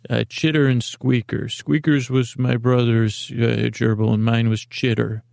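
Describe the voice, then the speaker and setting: A man with a noticeably creaky, mid-pitched voice hosts a bedtime podcast in a close, dry recording. He rambles soothingly, pausing and doubling back on his words as he meanders along to lull listeners to sleep. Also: level tone, darkish tone, no echo. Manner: meandering, halting, with pauses and repeated words; soothing, lulling. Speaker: podcast host.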